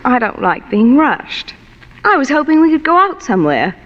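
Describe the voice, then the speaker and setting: An actress playing a sultry, wealthy, teasing femme fatale in a 1940s noir radio drama, with a coy, polished manner. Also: female voice, high-pitched, very animated, moderate pace. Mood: flirtatious. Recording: muffled, reverberant old radio broadcast.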